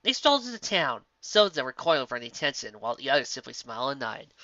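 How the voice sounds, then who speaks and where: A woman narrating a fan-fiction story in an expressive storybook style. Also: rumbling low, fast, no room sound. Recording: dull, muffled audio.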